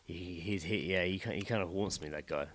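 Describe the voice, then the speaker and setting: A man's voice: sits low, a little fast, a bit bright. A British man co-hosting a casual comedy podcast, talking bluntly and emphatically with plenty of swearing. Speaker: podcast co-host.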